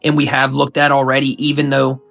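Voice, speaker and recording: A young man with a cold hosts his channel, talking in an excited, casual way and repeating his hype words. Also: medium pitch, brisk, gravelly, soft background music. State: sick, coughing and sniffling. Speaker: YouTube host. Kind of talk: hyped casual commentary.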